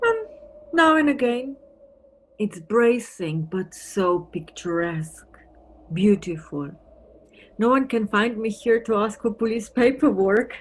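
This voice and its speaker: An actress playing a wry, wistful married police detective from Antwerp in an audio play, musing conversationally. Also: female voice, mid-range voice, lively intonation, slow pace. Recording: noisy recording with background ambience.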